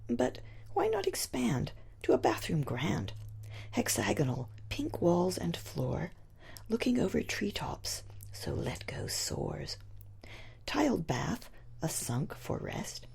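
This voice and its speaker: Woman poet reading her rhyming poem, gravelly n breathy, really expressive, goes whispery at times. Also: fairly low pitch, takes it slowly. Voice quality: husky, breathy. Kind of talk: reciting own rhyming poem.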